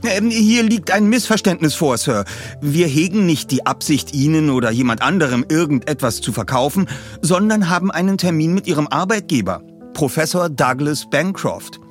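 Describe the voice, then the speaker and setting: A self-assured teenage boy detective, played by a grown man. He chooses his words precisely and pompously, in a raised pitch, in a story production with music. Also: expressive, normal speed, lightly acted. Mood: polite, insistent.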